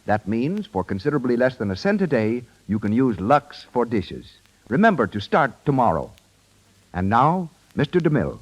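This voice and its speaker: A man's voice: mid-range voice, big pitch swings, moderate pace, rough recording. A man announcing on 1930s radio, reading scripted sponsor copy and scene introductions.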